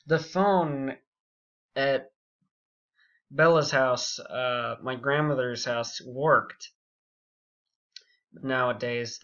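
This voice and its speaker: A young man telling a story casually and unscripted, rambling and halting, with frequent asides. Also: medium pitch, fairly bright tone. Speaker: YouTube storyteller. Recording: dull, muffled lo-fi audio.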